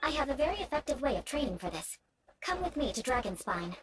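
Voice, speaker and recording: A woman's voice: high-pitched, sing-song, fast, background noise. A woman playing a poised young aristocratic knight in a video game, speaking formally and strictly with a teasing edge. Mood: instructive, haughty. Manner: formal, composed, haughty instruction.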